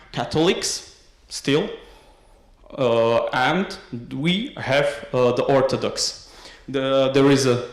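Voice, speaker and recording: A young man with a Slovak accent giving an academic conference talk, hesitant, with many filled pauses and restarts. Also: mid-range voice. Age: young adult. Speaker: conference presenter. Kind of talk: academic lecture. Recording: echoey room.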